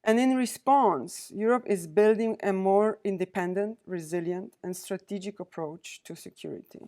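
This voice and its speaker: A woman delivers a prepared lecture to a university audience. She speaks at a middling pitch, in a measured, deliberate way, and pauses between the items she lists. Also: mellow. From Poland. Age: 40s. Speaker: guest lecturer, diplomat.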